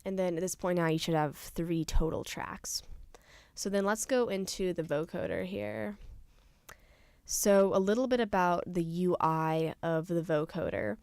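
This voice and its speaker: A young adult woman presenting an informal software tutorial, explaining things casually step by step. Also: on the low side, measured pace, clean tone, dry recording, studio quality. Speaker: tutorial presenter, studio intern.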